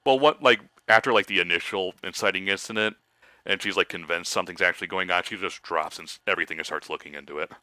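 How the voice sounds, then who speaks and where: Man, low pitch, very bright forceful tone, halting casual game banter. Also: no room sound. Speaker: gaming commentator. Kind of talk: casual chat, joking anecdotes.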